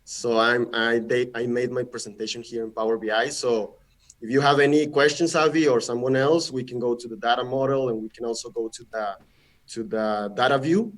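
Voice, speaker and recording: Male guest presenter, Spanish speaker, average pitch, casual and halting on a call mic. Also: steady tone. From Mexico. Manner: friendly, halting, often repeats words. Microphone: video call.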